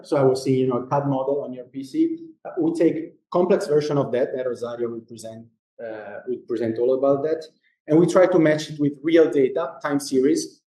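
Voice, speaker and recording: Male presenter, Genoese Italian accent, easygoing and joking, mellow tone, echoey hall sound. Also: medium pitch. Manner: casual, joking, many fillers and restarts. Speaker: company presenter at a lecture. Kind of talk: informal conference presentation.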